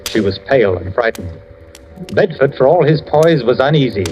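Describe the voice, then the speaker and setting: Clear music runs behind a tense male storyteller, played by an actor. He narrates in a medium-pitched voice with grave, dramatic old radio delivery. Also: expressive, moderate pace, a little mellow, dull audio, close to an everyday voice. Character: tense first-person storyteller in a suspense tale.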